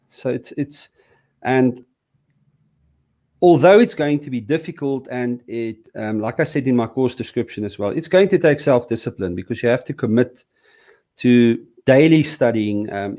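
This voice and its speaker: A man from South Africa, a Hebrew teacher, talking in a relaxed interview with lots of "um"s and restarts. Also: mid-range voice. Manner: hesitant, many fillers and restarts. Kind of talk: casual interview conversation.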